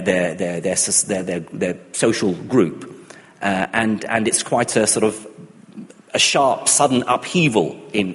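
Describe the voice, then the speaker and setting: Middle-aged man raised in Iraq, mid-pitched, thoughtful talker who restarts often, voice ringing in a big hall. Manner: thoughtful, many restarts and fillers. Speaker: speaker on humanism and atheism. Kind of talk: reflective personal talk.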